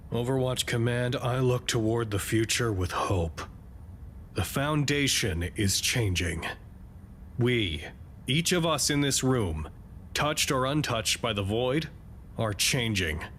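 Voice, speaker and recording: A man acting in an audio drama as a resolute, hopeful leader making a formal, measured, earnest address. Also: medium pitch, a bit bright, slight background noise. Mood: solemn, hopeful. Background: music.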